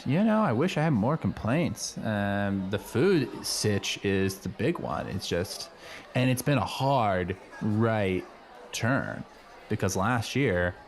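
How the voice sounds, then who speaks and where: Man playing rambling low-voiced teenage schoolboy, noisy background. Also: expressive, slow pace. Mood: mildly exasperated. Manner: rambling, hesitant, peppered with "like" and slang. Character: earnest, slangy teenage high school boy, eager know-it-all. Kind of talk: improvised comedy radio play, casual dialogue.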